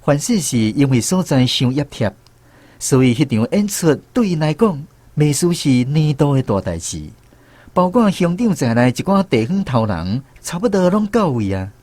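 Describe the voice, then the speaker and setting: A man's voice: on the high side, very animated, normal speed, a little mellow, dry recording. A man with a Taiwanese accent narrating a radio drama as a reminiscing storyteller. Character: reminiscing male storyteller of a touring troupe.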